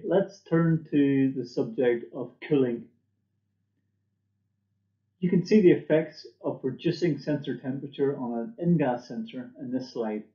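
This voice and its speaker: A middle-aged man giving a scripted product presentation as a company presenter, recorded indoors at a desk. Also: medium pitch. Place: indoor room, seen on webcam. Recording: echoey room, dull muffled audio.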